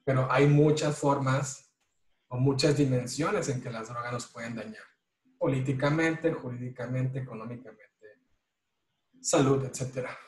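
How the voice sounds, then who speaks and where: A man's voice: medium pitch, unhurried. Chatty man in an echoey room. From Mexico. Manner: relaxed, chatty, repeats words while thinking. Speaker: economics professor and guest. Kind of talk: conversational interview.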